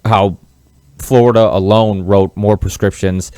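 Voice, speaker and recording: A man's voice: lowish voice, steady tone, a little slow, darkish tone, clean tone, no echo. A man from Massachusetts hosting a podcast, talking in an earnest, rambling, conversational interview style. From Massachusetts, born in Portugal. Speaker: podcast host.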